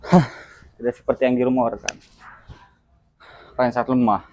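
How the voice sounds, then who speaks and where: A man's voice: mid-range voice, flat delivery, moderate pace, darkish tone, lightly acted. A man playing an arrogant young gang bully in a fan film, taunting and boastful.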